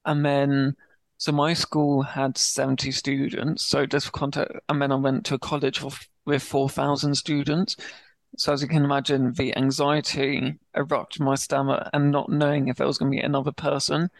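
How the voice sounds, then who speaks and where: A young man with a stammer, telling his personal story as a podcast guest and pacing his words slowly before speeding up. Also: medium pitch, completely flat delivery, gravelly, dry recording. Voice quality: speaks with a stammer. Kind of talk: candid personal story in an interview.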